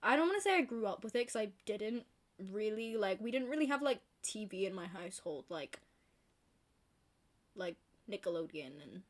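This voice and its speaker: A young woman from Scotland with a softened Glaswegian accent, chatting casually and rambling through a book vlog. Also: medium pitch, very animated, moderate pace. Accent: softened Glaswegian Scottish. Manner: chatty, rambling, self-deprecating, many fillers. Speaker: book vlogger. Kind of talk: casual chat to camera.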